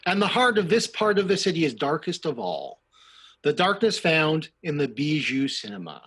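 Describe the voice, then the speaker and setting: Sly radio mystery narrator, theatrical and droll, animated range, faint music underneath. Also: male voice, fairly high pitch. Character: sly, ominous host of a 1940s suspense radio show. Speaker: narrator and announcer of a radio play. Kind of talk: old-time radio suspense narration.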